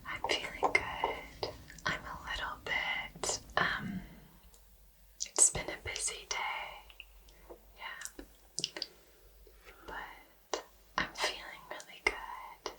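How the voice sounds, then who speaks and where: Slow, crisp whispering woman. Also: pushed and bright, far from the mic. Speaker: host receiving a tarot reading. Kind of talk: whispered ASMR conversation.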